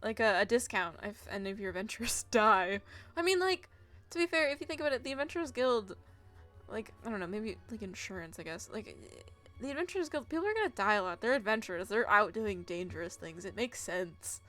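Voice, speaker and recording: A woman's voice: mid-range voice, animated, fairly quick, a bit bright. A woman streaming a game, giving casual live commentary in a giggly, gushing way and reacting aloud as she plays. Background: game music.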